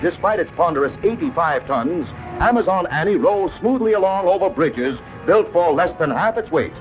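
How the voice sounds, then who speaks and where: Animated American 1950s newsreel announcer, male. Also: sits high, normal speed. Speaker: newsreel narrator. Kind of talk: 1950s newsreel scripted narration. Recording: dull old newsreel soundtrack, prominent music. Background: newsreel music.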